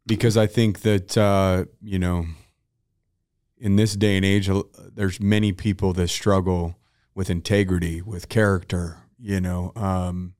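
A man's voice: sits low, flat delivery, measured pace, a little mellow, no room sound, studio quality. A man hosting a podcast, talking in an easygoing, casual way with lots of "you know" fillers. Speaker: podcast host. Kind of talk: casual chat.

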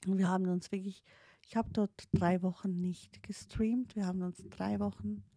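A woman's voice: mid-range voice, fairly even intonation, normal speed, dark tone, no room sound, studio quality. A woman in her late thirties with a Swiss accent, German not her first language, musing hesitantly about her past with many fillers and restarts. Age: 30s. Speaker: streamer. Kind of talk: reflective personal storytelling.

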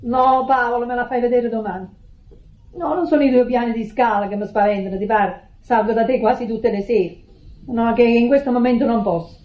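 A woman's voice: a little high, roomy sound, close to an everyday voice. An actress with a Neapolitan accent playing a defensive, righteous middle-aged sister in a radio drama, insistent and repeating her words. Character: defensive, wounded, righteous sister running the household. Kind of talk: stage-style Italian radio drama acting. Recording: muffled old radio drama recording.

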